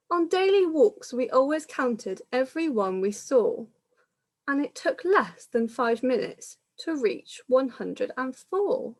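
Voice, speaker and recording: Slow-paced woman reading a storybook. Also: high-pitched. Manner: measured, explaining pictures to children. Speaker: primary school teacher reading a picture book. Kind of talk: storybook read-aloud for young children.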